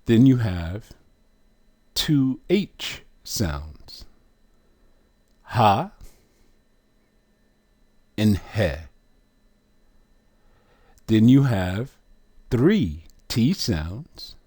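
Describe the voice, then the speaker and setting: Guy teaching real slow, lowish voice, leans hard on each word. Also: very animated, a little mellow, husky. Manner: emphatic, drawn-out words with long pauses. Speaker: religious teacher.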